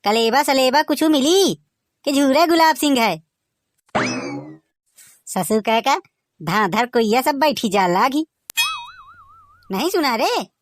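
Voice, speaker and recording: A man's voice: very high-pitched, expressive, slow pace, long pauses, faint music behind, full character voice. A man with a Bagheli accent voicing a joking rustic village husband who also narrates the cartoon. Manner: folksy, joking, exclaiming.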